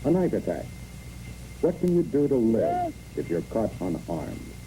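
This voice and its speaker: A man's voice: on the low side, huge pitch swings, measured pace, warm tone, a little hiss or hum. A man reads scripted instructional narration for a training film in clipped, imperative phrases, on a muffled old film recording.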